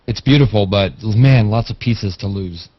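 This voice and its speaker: A man's voice: lowish voice, normal speed, husky, no echo. A man chatting casually in a wry, teasing way as a guest on a group video call. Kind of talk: casual chat. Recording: muffled, lo-fi internet video call.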